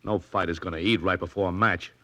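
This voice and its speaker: An actor playing a blunt, defensive veteran prizefighter in an old radio drama, gruff and emphatic, with American slang. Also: male voice, sits low, fairly even intonation, fairly quick, sharp tone, slight background noise. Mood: gruff explaining. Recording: dull vintage radio recording.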